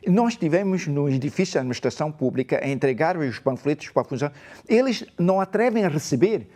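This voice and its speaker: A man's voice: on the high side, animated, fast, mellow. A man, a native Portuguese speaker, arguing emphatically as a candidate in a televised political debate in a studio. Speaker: election candidate.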